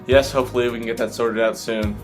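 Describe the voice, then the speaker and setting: Man playing a flat 1920s co-anchor. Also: medium pitch, fast, music in the background, natural voice. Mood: matter-of-fact. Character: deadpan 1920s male radio co-anchor. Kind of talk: scripted 1920s radio news.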